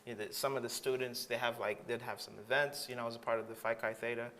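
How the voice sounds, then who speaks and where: An eager man from Tanzania chats about his studies as an interview guest. His voice is bright and forward, with a middle pitch. He rambles warmly through fillers and restarts. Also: fairly even intonation, fast talker. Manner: enthusiastic, rambling with many fillers. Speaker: graduate student guest. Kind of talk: casual interview answers.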